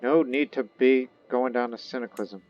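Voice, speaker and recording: A man's voice: medium pitch, steady tone, moderate pace, muffled recording. A man thinking aloud and reading game text in casual, rambling gameplay commentary. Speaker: gaming video host.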